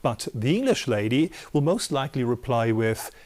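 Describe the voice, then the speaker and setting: A man, giving a measured recorded lecture in a studio, with a few fillers as he explains. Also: mid-range voice, sing-song, darkish tone. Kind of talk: recorded lecture on intercultural communication. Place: studio with dark backdrop.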